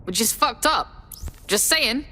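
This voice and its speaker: A brash young London woman in a game's resistance. Her voice is high and very animated in pitch. She talks bluntly in street slang. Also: slow, exaggerated voice. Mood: indignant. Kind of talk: scripted video game dialogue.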